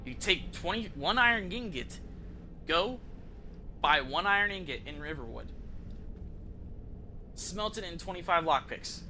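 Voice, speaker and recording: A man with a very bright, forceful voice that sits a little high gives casual gameplay commentary. He rambles conversationally and swears freely, with faint noise behind him. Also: expressive, measured pace, pauses often. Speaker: video game streamer.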